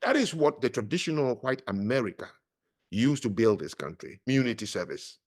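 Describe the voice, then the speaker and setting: A middle-aged man talks as a podcast guest. His delivery is lively and swings widely in pitch. He leans on words for emphasis, the way a storyteller does. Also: brisk. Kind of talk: conversational interview.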